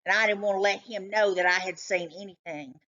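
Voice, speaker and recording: A woman voicing an excitable, suspicious male cat from a children's storybook, telling his tale with dramatic suspense. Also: medium pitch, fairly quick, lo-fi sound, lightly acted. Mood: dramatic, conspiratorial.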